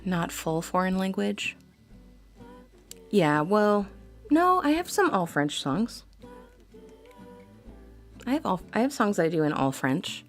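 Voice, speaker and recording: A woman hosting a live singing stream, chatting casually with viewers between songs in quick, rambling bursts full of ums and likes. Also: mid-range voice, sing-song, soft background music. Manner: reads out viewer comments and answers them. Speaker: livestream singer and host.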